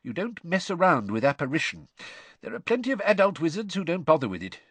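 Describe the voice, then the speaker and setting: A male reader performs a kindly middle-aged British wizard dad from a storybook. His voice is raised and swooping, a little husky, as he eagerly explains things in fussy detail. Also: fairly high pitch, very animated, fast talker, fairly bright tone, no echo, voice pushed up, exaggerated voice. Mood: chatty, informative. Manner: eager, chatty, matter-of-fact explaining.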